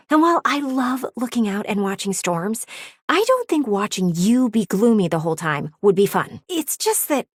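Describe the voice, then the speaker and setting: An actress voicing a pushy, blunt talking window in a video game, with bossy, dramatic emphasis. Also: female voice, on the high side, dry recording, studio quality. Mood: frank, firm, then earnest, wistful.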